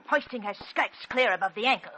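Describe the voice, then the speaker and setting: A woman's voice: fairly high pitch, brisk, noisy background, lightly acted. A woman playing an imperious, jealous British leading lady, clipped and scornful, in 1940s radio acting. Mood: indignant scorn. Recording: vintage 1940s radio broadcast.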